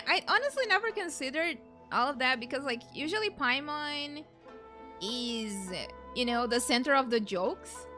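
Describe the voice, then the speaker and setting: A young woman giving casual, rambling and expressive commentary as she plays a video game. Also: sits high. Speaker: gaming streamer. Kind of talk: casual let's play commentary.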